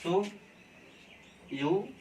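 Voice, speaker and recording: A man with an Indian accent teaches a classroom lesson at an unhurried, measured pace, pausing to check in with the class. His voice sits a bit high for a man. He is picked up from some distance, with a faint hum of room ambience behind him. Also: animated, warm tone. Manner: slow and deliberate, keeps checking in with "okay?". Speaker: college teacher. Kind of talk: classroom lesson on letter writing.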